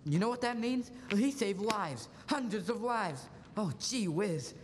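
A man's voice: high voice, animated, measured pace, slight background noise. A young man acting an agitated, irritable small-town family man in a live radio play. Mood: excited. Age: teenager or young adult. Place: school auditorium stage. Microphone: stand microphone. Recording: echoey hall with long reverb.

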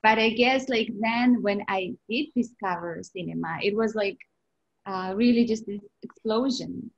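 A woman's voice: mid-range voice, measured pace. A woman speaking as a filmmaker on an online panel, recalling personal experience. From Georgia, the country. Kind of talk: personal recollection in a panel discussion. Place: indoors on a video call. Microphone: video call. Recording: dull, lo-fi video-call audio.

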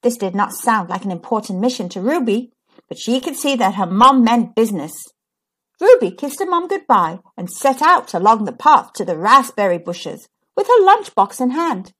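A woman reading a children's story aloud with dramatic, deliberate, expressive storytelling. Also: a little high, husky. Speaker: storybook reader.

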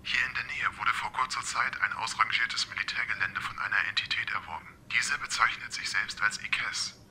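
A man's voice: on the low side, moderate pace, pushed and bright, slight background noise, low-quality recording. A man playing a polite, literal-minded talking car computer in an amateur radio play, formal and precise. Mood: matter-of-fact.

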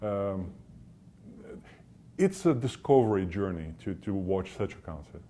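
A man's voice: low voice, expressive, a little slow, over loud music. A middle-aged man, a guitarist, talking casually in an interview, self-deprecating and full of fillers. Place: small room, indoors. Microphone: lapel.

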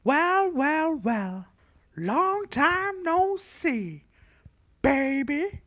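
Cartoon devil, wheedling, theatrical, very high voice, bright tone. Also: sing-song, extremely slow, exaggerated voice. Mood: mock friendly. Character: devil summoned by children, wheedling, flustered when thwarted. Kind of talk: cartoon voice acting.

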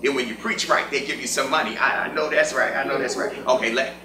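A man's voice: medium pitch, fairly even intonation, quick pace, background noise, lightly acted. An American man playing a pompous, fervent church reverend in a gospel stage play, with preacherly delivery that slides into singsong. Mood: muttering, self-assured. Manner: preacherly, breaks into singsong cries. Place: indoor stage before an audience. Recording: echoey theater stage with music.

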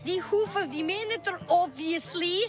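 A woman's voice: extremely high pitch, moderate pace, over music. A woman playing a cheeky young boy tour guide and sly con artist in a video game, talking in glib salesman patter with feigned innocence. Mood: glib salesmanship. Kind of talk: video game character acting.

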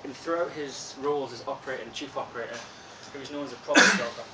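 A soft-spoken young man giving an informal lecture, relaxed and chatty with frequent ums. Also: mid-range voice, fast. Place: lecture room with projector, indoors. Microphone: far room camera. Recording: echoey room from far off with loud hiss.

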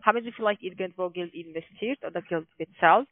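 A man's voice: sits high, fairly quick, dry recording. A man with a foreign accent, a non-native speaker, pressing insistently with cold-call questions. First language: not German. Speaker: call-centre caller. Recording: tinny phone line.

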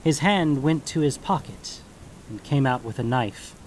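A man's voice: medium pitch, lively intonation, unhurried, a little mellow, slight background noise, low-quality recording. A man reading scripted fantasy story narration as the narrator.

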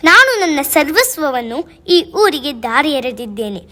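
A woman's voice: sits high, sing-song, normal speed, sharp tone, exaggerated voice. A woman voicing a weeping river spirit. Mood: sorrowful. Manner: lamenting, declamatory. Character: weeping female river spirit, sorrowful and wronged. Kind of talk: amateur radio play.

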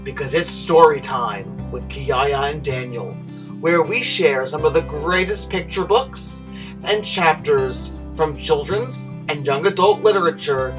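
An eager man pitching his show in a bright, pushy promo voice that climbs high and loud. Guitar music plays behind him, and he sounds a little distant from the mic in a home stairway. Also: a little slow. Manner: emphatic, salesy, rising to loud. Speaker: host.

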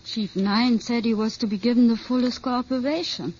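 A woman's voice: on the high side, fairly even intonation, moderate pace, a little mellow, husky. An actress playing a composed young woman, a revolutionary and scientist's daughter, in an old radio drama. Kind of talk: 1950s radio drama acting. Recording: muffled old radio recording.

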